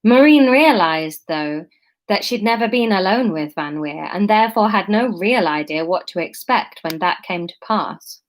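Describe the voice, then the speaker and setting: Woman reading her story out loud, chatty, keeps stopping to chat and fix slips. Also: mid-range voice, animated, moderate pace. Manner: chatty, breaks off to comment and correct herself. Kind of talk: reading a story aloud with casual asides.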